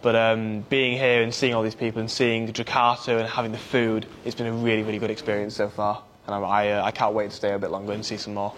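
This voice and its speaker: A man's voice: lowish voice, level tone, fast, few pauses, fairly bright tone, slight background noise. A young British man, a naval cadet, answering earnestly with many fillers. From United Kingdom. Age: young adult.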